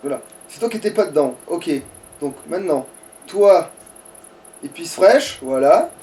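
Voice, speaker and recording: A man's voice: fairly high pitch, animated, measured pace, mellow, far from the mic, slight background noise. A man chatting casually as he plays a game, crude and joking, with plenty of swearing. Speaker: let's player. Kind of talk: casual gaming commentary with a friend.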